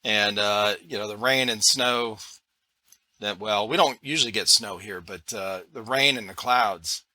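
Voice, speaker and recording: A man's voice: lowish voice, measured pace, sharp tone, low-quality recording. A man hosting a casual podcast interview in an easygoing, agreeable way. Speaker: podcast host.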